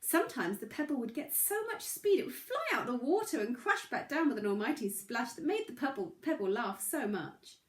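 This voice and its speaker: A woman reading a children's story aloud in an expressive, dramatic way. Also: on the high side, normal speed, few pauses. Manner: animated, dramatic. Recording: echoey room.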